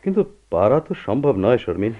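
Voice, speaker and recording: An actor playing a steadfast, patient husband in a radio drama, earnest and deliberate. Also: male voice, medium pitch, big pitch swings, natural voice. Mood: insistent. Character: steadfast, patient husband pleading with his wife. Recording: muffled old radio play recording.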